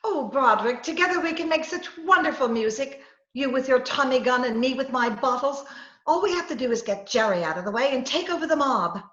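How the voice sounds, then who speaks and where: A woman's voice: high voice, lively intonation, brisk, a bit bright. A woman playing a scheming, coaxing woman who poses as a poisoner gangster, with breezy melodramatic patter in a 1940s-style radio comedy. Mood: coaxing, flirtatious. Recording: roomy, slightly dull video-call recording.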